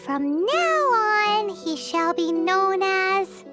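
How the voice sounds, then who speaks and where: A woman's voice: extremely high pitch, very animated, very slow, full character voice. A woman voicing a small, cheeky, childlike fairy companion in a video game, blunt and exclamatory. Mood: annoyed. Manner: refers to self in third person, exclamatory. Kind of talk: cartoonish video game acting. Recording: loud background game music.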